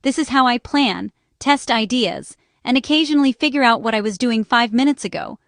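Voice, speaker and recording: Higher-pitched woman narrating a scripted explainer. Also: a little fast, no echo, studio quality. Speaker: narrator and small business owner explaining accessibility.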